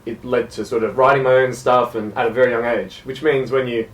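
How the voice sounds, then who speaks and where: A man's voice: mid-range voice, level tone, brisk, reverberant, distant mic, poor audio. A young Australian man, a jazz musician, talking casually and self-deprecatingly in a radio interview, with many hesitations. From South Gippsland, Australia.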